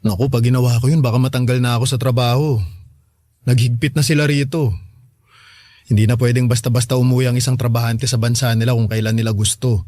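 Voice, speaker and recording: A man's voice: medium pitch, flat delivery, fast, dark tone, no echo, clean studio sound, close to an everyday voice. An actor playing a loving, apologetic Filipino father who works abroad, speaking tenderly and pleadingly in a radio drama.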